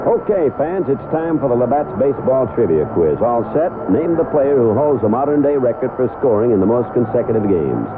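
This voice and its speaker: A man's voice: mid-range voice, very animated, brisk, few pauses. A North American man reading a scripted radio advert and trivia quiz as an announcer. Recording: noisy tinny vintage 1970s radio broadcast.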